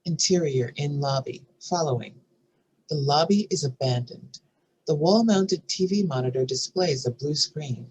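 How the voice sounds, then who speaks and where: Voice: medium pitch, unhurried, a little mellow, breathy edge, lo-fi sound. A narrator reading scene headings and stage directions from a screenplay in a scripted style. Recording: faint background noise and room ambience.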